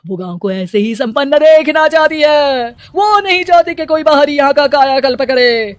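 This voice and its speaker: A woman's voice: high-pitched, animated, normal speed, no room sound. A woman voicing a scheming male village headman in a storybook cartoon, commanding and harsh. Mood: grave. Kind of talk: storybook voice acting.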